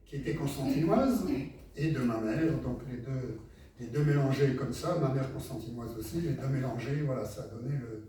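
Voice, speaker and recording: Halting man heard far off in an echoey hall. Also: mid-range voice, warm tone. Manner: brief, halting additions. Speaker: co-author of the book. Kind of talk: unscripted book talk to an audience. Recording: echoey room, distant mic, noise.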